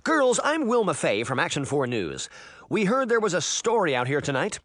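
A man voicing an impatient, businesslike woman TV news reporter in an audiobook, brisk and urgent. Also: a little high, wildly swooping pitch, fairly bright tone, no echo, clean studio sound, voice pushed up. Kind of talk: audiobook character voice.

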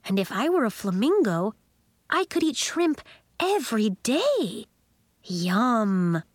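A woman's voice: a little high, sing-song, slow, dry recording, pitched above the performer's own voice, exaggerated voice. A woman voicing an eager, slightly shy fifth-grade girl in a storybook, musing in a childlike way. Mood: wistful.

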